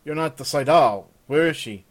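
A man's voice: medium pitch, very animated, normal speed, voice pushed up. A man playing a blunt, headstrong woman of rank who talks informally and demandingly in a fantasy story. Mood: exasperated. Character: blunt, headstrong young noblewoman, easily exasperated. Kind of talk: fantasy audiobook character.

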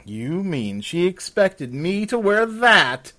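A man's voice: medium pitch, very animated, moderate pace, pitched above the performer's own voice. Guy doing a headstrong fantasy heroine, blunt n demanding, voice jumps up and down. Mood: skeptical. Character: blunt, headstrong young noblewoman, easily exasperated. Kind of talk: fantasy audiobook character.

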